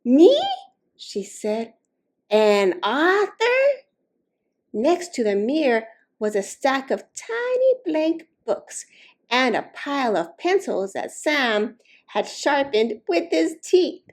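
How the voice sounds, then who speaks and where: Slow, higher-pitched woman reading a storybook. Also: very animated. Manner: slow, deliberate, dramatic emphasis on key words. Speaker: storytime reader. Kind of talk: expressive children's storybook read-aloud.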